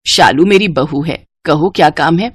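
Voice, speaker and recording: Woman acting a mild older mother-in-law, lowered pitch. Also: normal speed, slight background noise, lightly acted. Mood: gentle, resigned. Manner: mild, yielding. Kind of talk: acted dialogue in a moral story.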